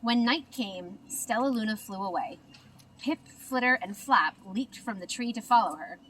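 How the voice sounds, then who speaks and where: A woman reading a children's story aloud as an expressive narrator. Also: fairly high pitch, a little slow, far from the mic, slight background noise, low-quality recording. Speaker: storybook reader.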